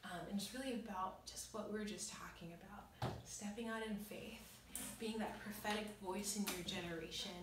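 A young woman giving informal, earnest Bible teaching in a conversational way with emphatic pauses, recorded in a small bare room. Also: mid-range voice, fairly even intonation, noisy background. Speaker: devotional teacher and host. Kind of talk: informal Bible teaching, reading and explaining scripture. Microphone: camera a few metres away. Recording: echoey room, distant microphone.

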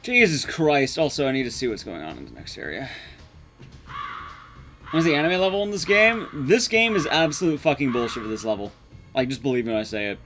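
A man's voice: medium pitch, lively intonation, brisk, fairly bright tone, soft background music. A young man giving casual, sweary, sarcastic live commentary as he speedruns a game. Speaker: speedrun streamer. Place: indoor room at home, streaming setup.

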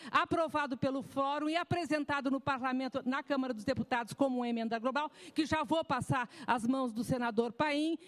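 A woman in her sixties from southern Brazil, speaking at a podium microphone as a panelist in a formal public hearing, emphatic and gesturing. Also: high-pitched. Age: 60s. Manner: emphatic, gesturing with both hands. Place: large hearing room.

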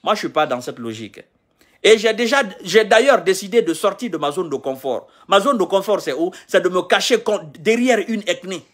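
A man's voice: high-pitched, animated, brisk, a bit bright. A man in his thirties from Guinea, ranting heatedly in a selfie live video, lecturing and repeating his points, filmed on a phone held at arm's length in a small room. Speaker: political commentator. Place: indoors, small room, on a sofa.

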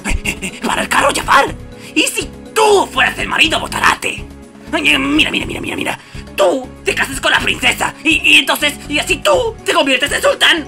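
A man voicing a hot-tempered, sarcastic, scheming parrot in a cartoon dub, screechy and gravelly, with a Castilian Spanish accent. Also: very high voice, very animated, normal speed, pushed and bright, faint music behind, exaggerated voice. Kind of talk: cartoon fandub audition.

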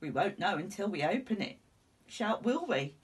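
Woman acting a husky, kindly male professor. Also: medium pitch, very animated, normal speed. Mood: thoughtful, kindly. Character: kindly, thoughtful male professor, gentle giant friend. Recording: slightly distant room microphone.

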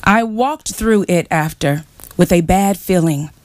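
A woman's voice: on the low side, a little slow, a little mellow. A woman reading literary prose dramatically at a live bookshop event. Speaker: reader in a staged book reading. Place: bookshop event before a seated audience.

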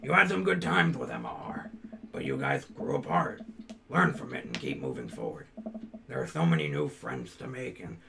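Man doing a very gravelly, kindly dad. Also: medium pitch, wildly swooping pitch, moderate pace, noisy background, exaggerated voice. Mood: consoling. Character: gruff, kindly advice-giving cartoon dad. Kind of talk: dating game let's play voice acting.

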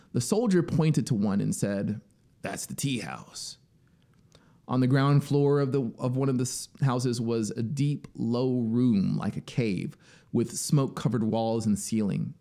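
A man in his thirties reads a literary story in a deliberate, dramatic way, drawing out key words into a close studio microphone. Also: mid-range voice, mellow. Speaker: narrator and musician. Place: small home studio, indoors. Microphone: close studio microphone on boom arm. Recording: music behind the voice. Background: synthesizer soundscape music.

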